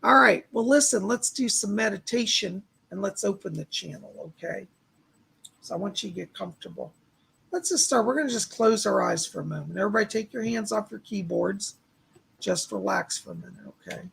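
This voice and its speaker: A man with a raspy, tired voice, speaking to viewers as the host of a psychic medium live stream. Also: high voice, fairly quick, a bit bright. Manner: chatty, exclaiming, addresses viewers by name.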